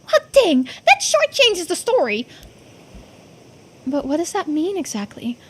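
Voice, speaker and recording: A woman voicing an excitable, inquisitive young islander in video game dialogue, eager and full of questions. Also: extremely high pitch, a little slow, slight background noise, full character voice. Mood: astonished, questioning.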